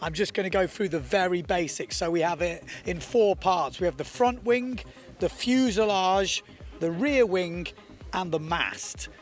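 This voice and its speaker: A man's voice: high voice, unhurried, faint music behind. A man presenting a windsurfing event report with energy, explaining things to viewers emphatically. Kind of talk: enthusiastic sports report presenting.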